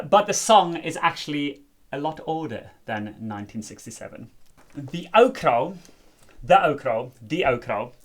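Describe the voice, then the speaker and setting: A man's voice: mid-range voice, a bit bright. A South African man whose first language is Afrikaans, co-hosting a casual chat and playfully explaining a folk song's history with many fillers. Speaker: co-host, musician.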